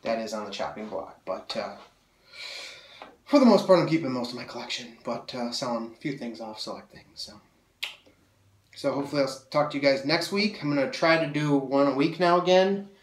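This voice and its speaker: A man's voice: medium pitch, animated, a little fast. A young man with a slightly nasal voice from a cold, enthusiastically reviewing a sword in casual, rambling talk with many fillers. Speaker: sword collector reviewing blades. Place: small room full of swords, indoors. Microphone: camera mic from several feet away. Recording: roomy echo, mic at a distance.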